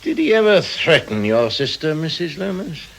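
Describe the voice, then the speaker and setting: A keen junior detective in a radio crime drama. His voice sits a little high and moves with lively inflection. He is respectful to his superior but pointed with his questions. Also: moderate pace, gravelly, exaggerated voice, low-quality recording. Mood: probing. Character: keen, deferential male junior police detective.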